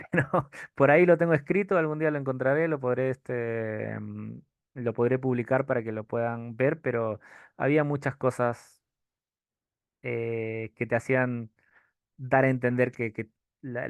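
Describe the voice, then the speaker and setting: Smooth-voiced male lecturer, non-Chilean Spanish accent. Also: mid-range voice, no room sound, studio quality. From outside Chile. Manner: hesitant, searching for words. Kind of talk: informal history lecture, answering audience questions.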